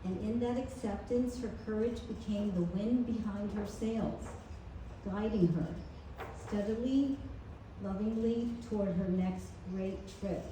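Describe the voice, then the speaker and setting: A woman reads a eulogy at a memorial in a measured, tender way, her tone mellow. She is heard from a distance in a very echoey room, with faint noise behind her. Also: mid-range voice. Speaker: family member giving a eulogy. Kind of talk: reading a prepared eulogy. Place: memorial gathering in a large room.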